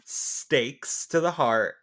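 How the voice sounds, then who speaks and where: Mid-pitched man riffing on a movie. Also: very slow, fairly bright tone. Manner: joking, riffing. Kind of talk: casual film discussion.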